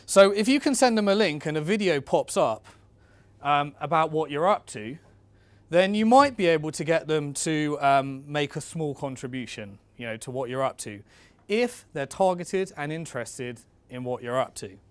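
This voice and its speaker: Higher-voiced man coaching in a dry recording. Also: animated, normal speed, no room sound. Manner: conversational, coaching, addresses listeners directly. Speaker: business presenter, instructor. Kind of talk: informal instructional talk on raising investment.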